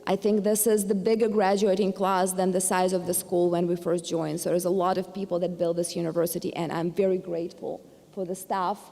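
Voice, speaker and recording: A woman's voice: on the low side, flat delivery, fairly quick, gravelly, warm tone. Ukrainian-accented woman speaking in a hall. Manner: earnest, with hesitant fillers and restarts. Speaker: university trustee giving commencement address. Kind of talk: formal commencement speech. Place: large hall. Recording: long hall reverb.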